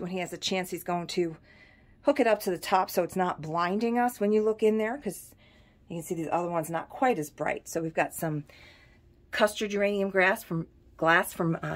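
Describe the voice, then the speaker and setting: A middle-aged woman with a fairly low voice walks through her antique shop, chatting casually about each piece and its price. She films on a handheld phone indoors among the glass shelves. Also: slightly rough. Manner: chatty, pricing items as she goes. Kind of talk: casual video shop tour. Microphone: handheld phone camera.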